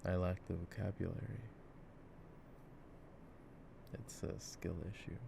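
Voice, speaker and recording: A man playing a goofy, soft-spoken gamer boyfriend who rambles with playful drama in an ASMR roleplay, over mouse clicks. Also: sits low, steady tone, slow, heavily acted. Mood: laid-back, playful. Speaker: ASMR voice actor. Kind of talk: improvised-sounding ASMR boyfriend roleplay while gaming. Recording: clear background noise, mouse clicks. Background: mouse clicks and game ambience.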